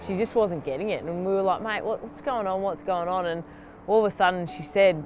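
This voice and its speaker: A woman's voice: medium pitch, a little fast, slight background noise. A woman in her thirties telling a funny training anecdote in a documentary interview. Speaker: rugby teammate.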